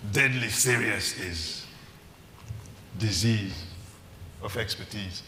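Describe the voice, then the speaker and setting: A man performing spoken poetry in a deliberate, rhythmic way with dramatic emphasis, recorded in a hall. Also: lowish voice, sharp tone. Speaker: poet. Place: large reverberant hall at a live event. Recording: echoey hall, faint background noise.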